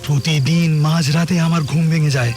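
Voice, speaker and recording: A man's voice: fairly high pitch, steady tone, fairly quick, a little mellow, natural voice. An actor playing an older, imperious hunter haunted by his past, speaking in an emphatic, theatrical audio drama style. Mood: brooding, challenging. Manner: theatrical, emphatic, repeats phrases for effect. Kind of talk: melodramatic Bengali audio drama acting. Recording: dramatic music behind the voice.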